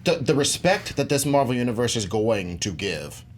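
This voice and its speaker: A man's voice: medium pitch, sing-song, normal speed, a little hiss or hum. A man debating on a pop-culture show in casual, colloquial slang, repeating words for emphasis.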